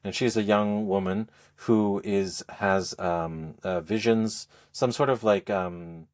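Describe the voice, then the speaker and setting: A man speaking as a podcast co-host, explaining things in a casual, halting, conversational review style. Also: on the low side, steady tone, darkish tone. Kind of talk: casual game review chat.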